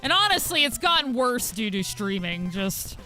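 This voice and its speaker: A young woman streamer with a husky voice, chatting casually with viewers while gaming and thanking them, with frequent fillers. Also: a little high, big pitch swings, moderate pace, sharp tone, soft background music.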